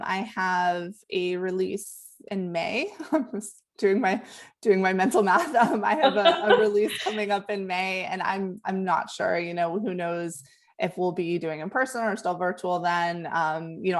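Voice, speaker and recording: A woman author in a virtual panel, speaking hesitantly with many ums and repeated words. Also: lowish voice.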